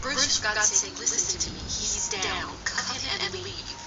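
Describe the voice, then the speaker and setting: A woman's voice: mid-range voice, animated, unhurried, close to an everyday voice. A woman playing a vigilante's worried, loyal ally in an audio drama, pleading with emotional insistence. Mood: urgent. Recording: muffled audio under loud music.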